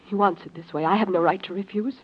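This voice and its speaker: A woman's voice: medium pitch, fairly even intonation, quick pace, husky, natural voice. Young female actor, devoted and distraught bride-to-be, earnest vintage radio melodrama, dulled sound. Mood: strained, resolute. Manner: earnest, dramatic, anguished. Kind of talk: old-time radio melodrama acting. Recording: muffled vintage radio recording.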